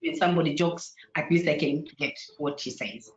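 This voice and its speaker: A woman's voice: low-pitched, moderate pace. Tanzanian woman on a roomy, dull call. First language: Sukuma. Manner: hesitant, many fillers and restarts. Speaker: panel guest, engineer. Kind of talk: online panel discussion. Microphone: video call.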